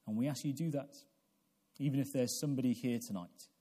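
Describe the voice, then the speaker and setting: Mellow-voiced male preacher in his forties. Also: mid-range voice, breathy edge. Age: 40s. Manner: deliberate, halting delivery with repeated phrases and rhetorical questions. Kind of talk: evangelical sermon with prayer.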